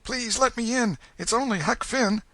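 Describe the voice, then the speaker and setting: A man voicing a scared, ragged boy who pleads in a high, anxious voice. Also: very animated, normal speed, pushed and bright, no room sound, heavily acted. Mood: pleading. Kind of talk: audiobook character voice.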